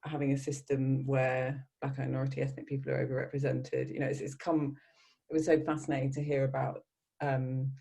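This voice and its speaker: A man moderating an informal panel discussion, rambling and halting as he repeats words and builds up to questions. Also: mid-range voice, monotone, vocal fry, warm tone, breathy edge.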